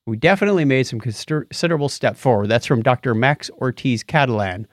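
A man co-hosting a science and tech podcast, chatting casually through news items in a jokey, animated way. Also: medium pitch, fast, dry recording, studio quality. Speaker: co-host of a science and tech show. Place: home or podcast studio with acoustic foam.

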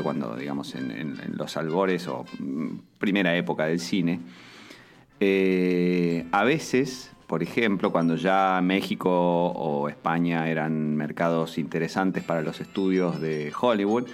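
Man, very low voice, rambling casual talk, soft music behind. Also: measured pace. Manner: rambling, many fillers and restarts. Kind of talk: casual podcast chat.

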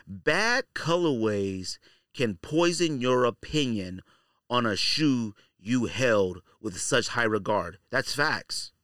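A man's voice: medium pitch, expressive, slow, a bit bright, no room sound. Casual, dry-sounding male podcast host. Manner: colloquial, slangy. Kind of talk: casual opinionated commentary.

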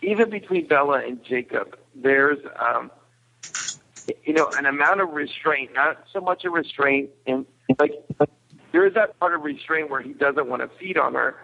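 Creaky-voiced man on a tinny phone-like line. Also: medium pitch. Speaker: podcast guest reviewer. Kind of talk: casual discussion.

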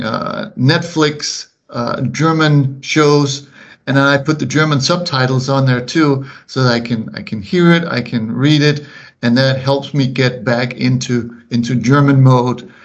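A middle-aged man whose first language is Danish speaks English with a slight Kansas drawl, talking slowly and deliberately with many restarts in a casual interview. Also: medium pitch. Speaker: guest, officiating coordinator. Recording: slightly muffled podcast audio.

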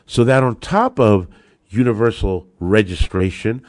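A man with a fairly low voice delivers an opinionated podcast monologue as a lawyer. His manner is emphatic and advocating, and the recording is dry and close, with very little room sound. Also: measured pace, darkish tone, dry recording. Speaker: podcast host and attorney.

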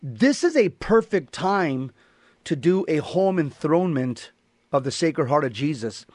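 A man's voice: fairly high pitch, sing-song, a little slow, darkish tone, dry recording. An American man hosting a talk radio show, giving emphatic monologue commentary in which he repeats and restates his points.

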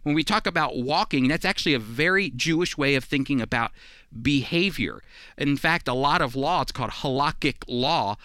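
A man hosts a radio Bible program, teaching in an earnest, sermon-like way. His voice is bright and forceful and sits a little high. He stresses key words emphatically while staying conversational, and the sound is close and dry. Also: lively intonation, moderate pace, dry recording.

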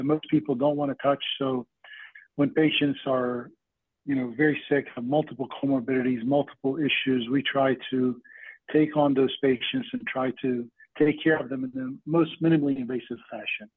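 A man's voice: mid-range voice, dry recording. A middle-aged man from Lebanon and West Virginia, a doctor casually explaining his work as an interview guest. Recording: thin phone-like band, dry.

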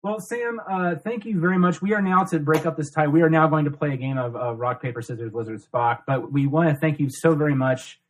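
Laughing, swearing young podcast host. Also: male voice, on the high side, brisk, hardly any pauses, low-quality recording. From Ohio, USA. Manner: loose, laughing, swears freely. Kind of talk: casual podcast chat and interview.

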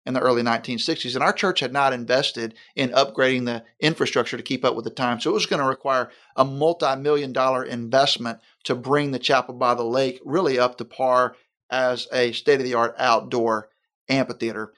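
A man speaks as a pastor in a conversation, in a mid-range voice. He talks in an earnest, deliberate way and often slows down to stress a point. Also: medium pitch, fairly even intonation, fairly bright tone. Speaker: senior pastor. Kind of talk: conversational interview.

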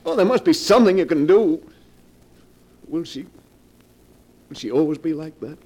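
An actor playing an anguished, bewildered husband in 1940s radio melodrama, pleading in a halting, agitated way. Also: male voice, a little high, lively intonation, dark tone. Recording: vintage 1940s radio broadcast.

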